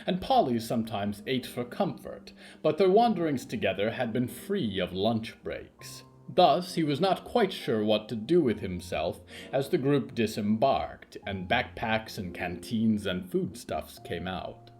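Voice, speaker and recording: Guy narrating spooky fiction, really slow n dramatic, lots of rise and fall, music behind. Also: medium pitch, very animated, a little mellow. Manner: measured, theatrical delivery with dramatic pauses. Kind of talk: scripted audio fiction narration, literary horror storytelling.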